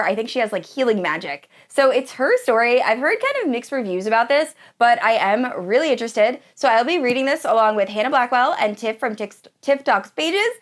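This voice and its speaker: A woman in her thirties chatting casually and enthusiastically to viewers as a book vlogger, with a gushing manner. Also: fairly high pitch. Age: 30s. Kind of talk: casual chat to viewers about books.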